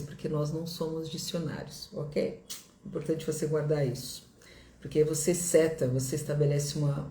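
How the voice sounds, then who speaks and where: A woman's voice: deep voice, warm tone. A middle-aged woman from Brazil with a Brazilian Portuguese accent, an English teacher giving a video lesson in a reassuring, repetitive way that keeps checking in with the viewer. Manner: reassuring, repeats herself, checks in with "ok?". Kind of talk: direct-to-camera video lesson. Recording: echoey room.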